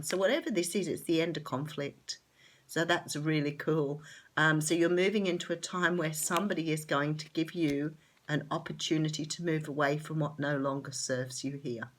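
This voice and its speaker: Casting note: Australian woman, low-pitched and stuffed-up from a cold, chatty tarot reader with lots of ums. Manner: chatty, enthusiastic, many ums. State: nasal and sore-throated from a cold. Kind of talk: casual tarot reading to camera.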